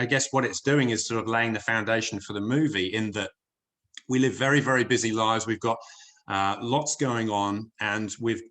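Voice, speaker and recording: Low-voiced British man presenting online. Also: fairly bright tone. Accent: English with a slight New Zealand tinge. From United Kingdom. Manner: chatty, hesitant, many fillers and restarts. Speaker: wellness presenter. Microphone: video call.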